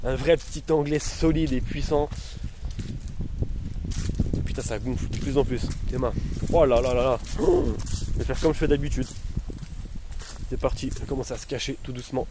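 A man's voice: mid-range voice, moderate pace, pauses often. Guy in his 30s vlogging on his phone outside, in pain, loose slangy rambling, lots of outdoor noise. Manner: slangy, rambling, talks straight to his followers. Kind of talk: casual vlog. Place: outdoors among trees and bushes. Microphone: phone held at arm's length. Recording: noisy outdoor phone recording. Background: outdoor ambience.

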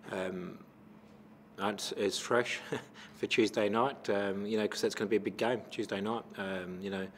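A middle-aged man with an Australian accent, a football coach answering questions at a post-match press conference into a table microphone, measured and full of fillers. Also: on the low side, fairly even intonation, a little hiss or hum. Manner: measured, many fillers and restarts. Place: press conference room with sponsor backdrop.

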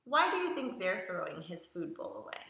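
A woman reading a children's picture book aloud in an expressive, sing-song way, asking young listeners simple questions. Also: on the low side, normal speed, creaky, slight background noise. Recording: roomy echo around the voice.

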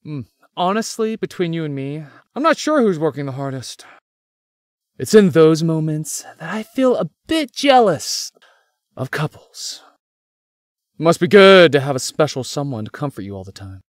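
Young man, played by an actor, wry best friend, very animated intonation, dry close recording, visual novel. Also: fairly high pitch, normal speed, no echo. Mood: casual, wry. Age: young adult.

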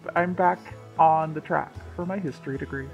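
A reader voicing an earnest, gentle young husband and father-to-be in a storybook tale, speaking plainly and sincerely. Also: mid-range voice, steady tone, a little fast, creaky, echoey room, lo-fi sound, soft background music, lightly acted. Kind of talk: reading a story's dialogue and letter.

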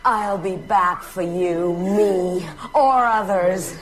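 An actress playing a menacing, seductive undead mother in a radio drama, with a commanding, drawn-out delivery. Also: lowish voice. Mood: menacing, gloating.

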